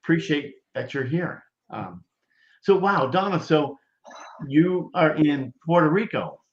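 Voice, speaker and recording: A man hosts a relaxed live-stream chat over a video call, pausing often and filling gaps with filler sounds. His voice carries a roomy echo and comes through dull and lo-fi, like a muffled webcam mic. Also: fairly high pitch. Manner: halting, many filler sounds. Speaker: host. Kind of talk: casual live-stream interview.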